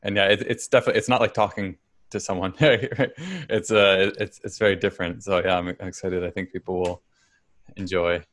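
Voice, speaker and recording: A man's voice: low voice, fairly even intonation, normal speed, dry recording, clean studio sound. A man hosting a podcast interview, talking casually with frequent restarts. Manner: casual, warm, many "like"s and restarts. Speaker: podcast host and teacher.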